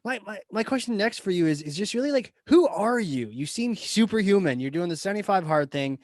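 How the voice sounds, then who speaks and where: A man hosting a podcast interview, asking questions with enthusiastic, quick reactions. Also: high voice, lively intonation, a little mellow, dry recording, studio quality. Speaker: podcast host. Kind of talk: casual interview chat.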